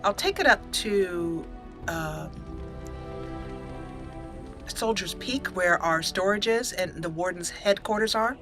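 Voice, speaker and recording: A woman's voice: fairly low pitch, slow, pauses often. A woman hosting a casual let's play, chatty and thinking aloud as she goes. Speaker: host, gamer. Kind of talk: casual let's play commentary with roleplayed dialogue. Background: soft game music.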